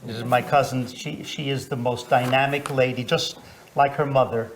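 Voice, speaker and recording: A man's voice: medium pitch, steady tone, normal speed, slight background noise. A man interviewing an older relative, speaking hesitantly with many fillers and prompting her along. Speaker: interviewer, family member. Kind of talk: family interview.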